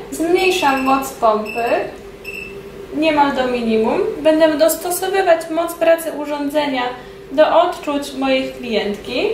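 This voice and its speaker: A woman instructor narrating a hands-on treatment demonstration step by step. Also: high voice. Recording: distant, echoey room with loud steady noise.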